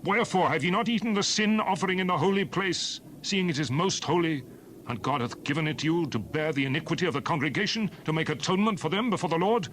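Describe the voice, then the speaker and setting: A man's voice: on the high side, fast, fairly bright tone, a little hiss or hum, close to an everyday voice, low-quality recording. An actor playing a stern male Hebrew leader in a dramatized scripture reading, solemn and declamatory. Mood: stern reproach.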